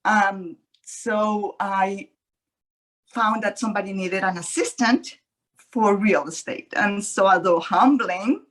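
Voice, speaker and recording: A woman from Colombia with a Colombian Spanish accent, an estate agent telling her story on a webinar panel in an earnest way, pausing often. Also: mid-range voice, fairly bright tone, low-quality recording. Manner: earnest, many pauses and ums. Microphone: video call.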